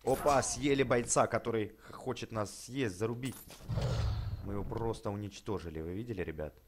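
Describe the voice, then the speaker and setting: A man hosting a casual, chatty let's play for children, excitable, full of exclamations, repeated words and affectionate diminutives. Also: lowish voice, brisk, background noise. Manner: uses many affectionate diminutives. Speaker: gaming YouTuber host.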